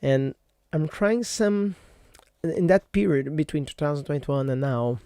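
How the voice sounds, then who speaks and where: A man's voice: on the high side, a little slow, mellow, smooth, no echo, clean studio sound. A man whose first language is Portuguese hosts a solo podcast in a rambling, casual way, full of fillers and self-corrections. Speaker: podcast host. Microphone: computer microphone.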